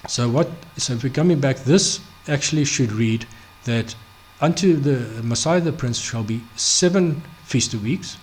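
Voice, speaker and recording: A man teaching a Bible study off the cuff, halting, full of restarts, fillers and "okay?" check-ins. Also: medium pitch. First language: not Hebrew. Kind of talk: unscripted screen-share Bible study.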